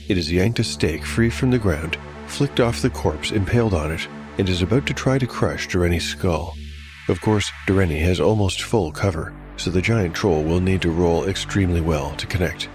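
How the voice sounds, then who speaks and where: Low-voiced man narrating over music. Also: moderate pace. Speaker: host, narrator and game master. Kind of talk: dramatic scripted narration with game commentary.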